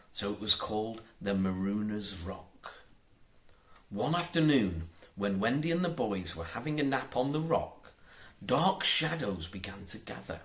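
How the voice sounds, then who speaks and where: A man narrating a children's storybook in a measured, deliberate and expressive way. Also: lowish voice. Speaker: audiobook narrator.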